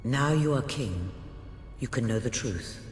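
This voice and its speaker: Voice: mid-range voice, animated, unhurried, lightly acted. An actor playing a solemn, cryptic mentor in a video game, speaking prophecies of doom. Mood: grave, portentous. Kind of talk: dramatic video game cutscene dialogue. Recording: echoey hall reverb, music behind.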